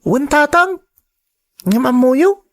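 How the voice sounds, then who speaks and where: A woman's voice: high voice, animated, slow, exaggerated voice. A woman playing an innocent, caring young child in a radio drama, earnest and questioning. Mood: hesitant, confiding.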